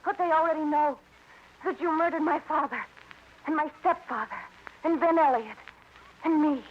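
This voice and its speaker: A woman's voice: sits high, normal speed, natural voice. An actress playing a bitter, fatalistic young woman in 1950s radio melodrama, speaking in a dramatic, accusing way. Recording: muffled old radio, clear background noise.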